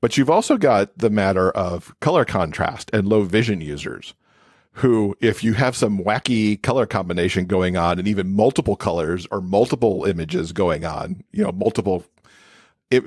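Male interview guest, lowish pitch, conversational explainer with "you know" asides, dry sound. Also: lively intonation, normal speed, darkish tone, slightly rough, no room sound. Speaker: podcast guest, accessibility expert and author.

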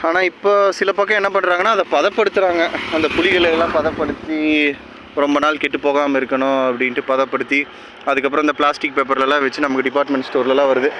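Higher-voiced Tamil Nadu man vlogging outdoors. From rural Tamil Nadu, India. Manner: conversational, explains step by step. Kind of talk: casual explanatory vlog addressed to viewers. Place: outdoors by a tree-lined roadside. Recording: clear outdoor roadside and vehicle noise.

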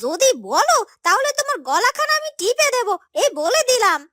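A woman voicing a scheming, nagging young married village woman in a fairytale cartoon, with emphatic, drawn-out scolding and syrupy concern. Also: very high voice, sing-song, sharp tone, no room sound, faint music behind, heavily acted. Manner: falsely sympathetic, scolding, dragged-out emphatic words. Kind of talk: Bengali fairytale cartoon dubbing.

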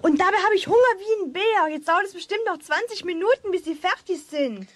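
A woman's voice: very high voice, lively intonation, a little fast. A female performer playing a bossy, exasperated schoolgirl older sister who scolds in a children's radio play. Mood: scolding.

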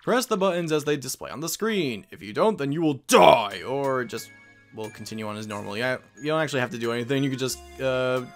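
Male Let's Play host: playful, casual commentary, medium pitch over faint music. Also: animated, a little fast. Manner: joking, playful.

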